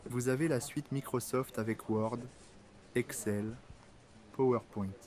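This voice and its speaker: A man reading scripted workplace dialogues for French learners, in a plain, clear way. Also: mid-range voice, steady tone, slow pace, darkish tone, natural voice.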